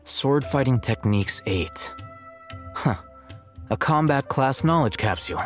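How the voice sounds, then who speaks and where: An actor playing a cool, calculating young male scholar in a video game, speaking in a measured, articulate, detached way. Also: mid-range voice, expressive, faint music behind, natural voice. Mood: appraising. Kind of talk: English video game voice acting.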